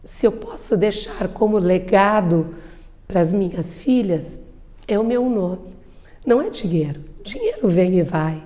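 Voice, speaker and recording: A woman. Her voice is warm and mellow, and she talks slowly. She answers interview questions in an earnest, emphatic way. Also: mid-range voice, very animated. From southern Brazil. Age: 50s. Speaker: lawyer and businesswoman.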